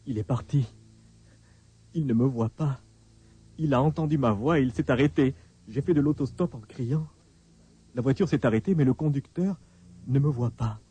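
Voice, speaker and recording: A man's voice: mid-range voice, normal speed, long pauses, mellow, slightly rough, natural voice. An actor playing a frightened, desperate hunted man in a radio drama, pleading and stammering in frantic repetitions. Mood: fearful, baffled. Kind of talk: French radio drama acting.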